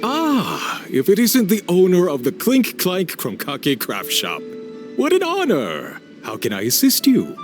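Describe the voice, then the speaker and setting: Oily, wildly swooping front-desk clerk. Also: male voice, high voice, wildly swooping pitch, measured pace, heavily acted. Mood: oily politeness. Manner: unctuous, formal, theatrical. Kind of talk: animated game cutscene dialogue. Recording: music behind the voice.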